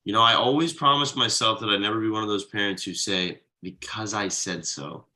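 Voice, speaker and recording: A man's voice: fairly low pitch, a little fast, sharp tone. A man playing an exhausted, easygoing thirty-something slacker dad in a casual, hesitant comedy table read. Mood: gentle, explaining.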